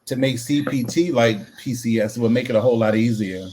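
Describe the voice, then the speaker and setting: A man's voice: mid-range voice, fairly quick, a little mellow, a little hiss or hum. A man co-hosting a casual live audio chat, emphatic and repeating words as he makes his points.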